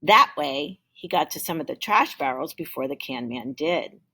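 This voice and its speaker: Female teacher for children's read-aloud narration: very animated intonation, husky and creaky. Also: low voice, moderate pace.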